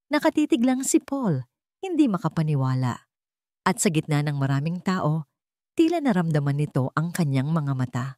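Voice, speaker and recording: Low-voiced Filipino woman narrating, very dry sound. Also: a little mellow, dry recording. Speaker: story narrator. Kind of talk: dramatic scripted story narration.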